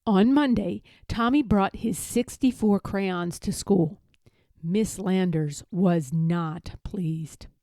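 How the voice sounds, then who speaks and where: A woman narrating a children's storybook aloud in a measured, expressive manner. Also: medium pitch, dry recording, studio quality. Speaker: storybook reader.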